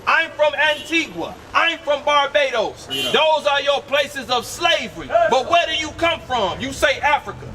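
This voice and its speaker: Man, very high voice, street preacher, commanding and emphatic, far from the mic, traffic noise behind. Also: very animated, unhurried, hardly any pauses. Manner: commanding, directs a reader with repeated "read"; emphatic, rhetorical questions, shouts at times. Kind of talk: street preaching with call-and-response. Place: outdoors on a city street.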